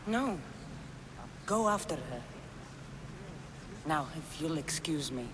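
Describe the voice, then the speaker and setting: A woman's voice: deep voice, expressive, takes it slowly. Terse, guarded mercenary woman, noisy ambience. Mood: terse, probing. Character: bold terse mercenary woman, infiltrator in ancient Greece. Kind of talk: video game cutscene acting. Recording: clearly noisy game ambience.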